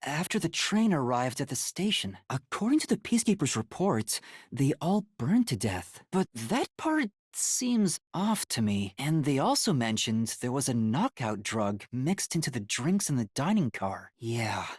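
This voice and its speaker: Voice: medium pitch, very animated, measured pace, few pauses, slightly rough, no echo, clean studio sound. An actor voicing an earnest, anxious young male detective in a video game, with a hesitant, boyish, easily flustered manner. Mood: thoughtful, explaining. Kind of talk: English video game voice acting.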